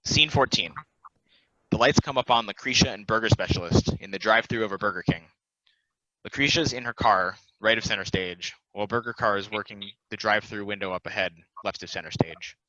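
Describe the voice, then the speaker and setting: A man's voice: lowish voice, fairly quick, pushed and bright, no echo, dull audio. A man reading out stage directions as scripted narration for a play.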